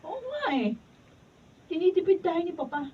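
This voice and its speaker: An actress playing a resentful adult daughter, sharp-tongued and gossiping, in a radio drama. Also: female voice, extremely high pitch, very animated, normal speed, distant mic, narrow, tinny audio.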